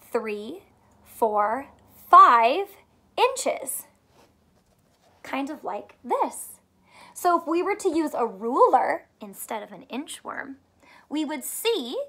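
A woman teacher with a fairly high voice reads to children. She takes her time over each phrase, explaining in simple terms and putting questions to the listener. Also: bright, forceful tone. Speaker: teacher reading aloud to children. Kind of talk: children's story read-aloud with simple teaching. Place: small furnished room at home, indoors.

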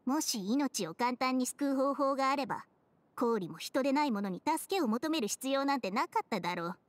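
Blunt, measured female snake spirit. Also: sits high, animated, no room sound. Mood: resigned, solemn. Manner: measured, blunt, matter-of-fact. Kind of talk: anime game voice acting. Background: music.

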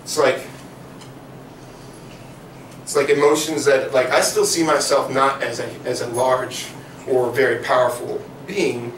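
A young man performing spoken word poetry in a living room, delivering rhythmic rapped verses between soft, rambling chat. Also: mid-range voice, little pitch movement, unhurried, long pauses. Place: living room of a house, small audience on couches. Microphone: far camera, no mic. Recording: echoey room from far off, faint noise.

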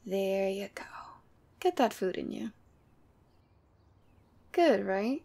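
A woman's voice: mid-range voice, expressive, slow, gravelly, mellow, full character voice. A woman playing a sweet, teasing, clingy demon girlfriend in a casual ASMR roleplay. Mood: teasing, caring. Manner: casual, teasing, playfully exasperated. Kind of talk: ASMR roleplay audio drama.

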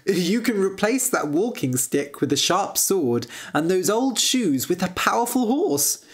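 A woman's voice: medium pitch, sing-song, measured pace, husky, pitched above the performer's own voice. A woman voicing a timid, sensitive young prince in a storybook tale, with a hesitant and emotional manner. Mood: eager, coaxing.

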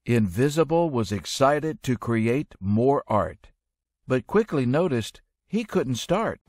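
Slow, animated male storybook narrator. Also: medium pitch, no room sound, studio quality. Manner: expressive, measured storytelling. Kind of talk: rhyming children's read-aloud narration.

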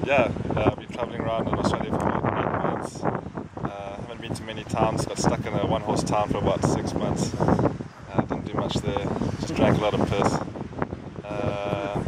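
Chill south african guy, lowish flat voice, loud wind all over it. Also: moderate pace. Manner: laid-back, slangy. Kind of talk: casual chat. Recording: very loud wind and engine noise.

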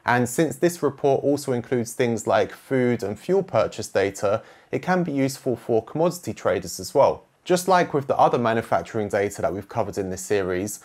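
Young male presenter, calm and measured, scripted explainer from a small home studio; even mid-range voice. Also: mid-range voice. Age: young adult. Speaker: finance educator presenting a video series.

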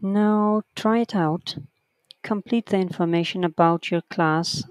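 A writing teacher giving an online lesson, reading textbook sentences aloud slowly and counting the corrections one by one. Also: mid-range voice, steady tone, dry recording.

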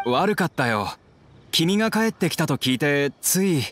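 Hesitant, lively-pitched troubled fugitive. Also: male voice, normal speed, slight background noise. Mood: apologetic. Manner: polite, hesitant, earnest. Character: apologetic, troubled male fugitive returned from abroad. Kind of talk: Japanese anime game dubbing. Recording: clear game music behind.

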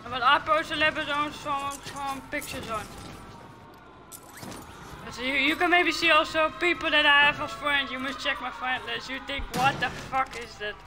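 A woman's voice: high-pitched, fairly even intonation, normal speed, echoey room, over music. A Dutch woman in her twenties, chatting casually as a gaming streamer from her gaming room and swearing a lot at other players. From Netherlands. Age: 20s. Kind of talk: casual gaming vlog and live commentary. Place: gaming room, seated in a gaming chair.

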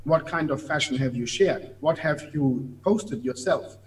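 A man with a German accent speaks over a video call from an office, his voice sitting a little high for a man. He explains ideas patiently, piling up examples with small hesitations. He sounds like an invited guest on an interview stream. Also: unhurried, rough recording. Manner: explanatory, gestures while talking, some hesitant fillers. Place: office room. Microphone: video call webcam.

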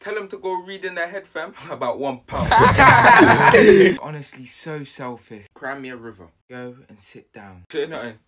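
A young man acting out school comedy sketches, switching characters with quick slangy banter. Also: a little high, very animated, over music. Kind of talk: sketch comedy playing all the parts. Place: school classrooms and corridors, indoors.

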